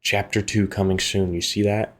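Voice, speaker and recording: A man's voice: on the low side, level tone, moderate pace. A man hosting a gaming video, reading visual novel narration aloud and adding casual commentary.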